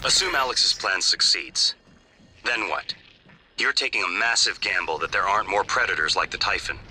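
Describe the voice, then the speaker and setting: A low-voiced male AI advisor with a very bright, crisp edge. He reasons calmly and articulately in a matter-of-fact game-dialogue style. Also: moderate pace, a little hiss or hum, rough recording. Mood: coolly persuasive. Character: calm, coolly logical male artificial intelligence advisor. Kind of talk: scripted video game acting.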